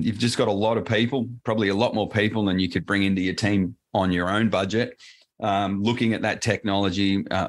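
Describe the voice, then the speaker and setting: A man with an Australian accent explaining technology as an interview guest, loose and full of fillers and restarts. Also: on the low side. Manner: rambling, many fillers and restarts. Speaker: presales solution architect, guest. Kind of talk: casual interview answers.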